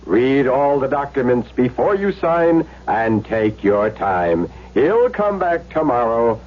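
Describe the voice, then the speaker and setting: An actor playing a polite, reassuring consumer adviser in a vintage radio announcement, giving courteous instruction. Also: male voice, mid-range voice, big pitch swings, takes it slowly. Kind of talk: vintage radio public-service ad. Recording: dull, hissy old radio recording.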